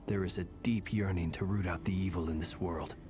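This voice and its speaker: A man voicing a calm, earnest fae warrior in a game, speaking in a measured, formal way. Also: low voice, slight background noise, close to an everyday voice. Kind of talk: video game voice acting. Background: game music.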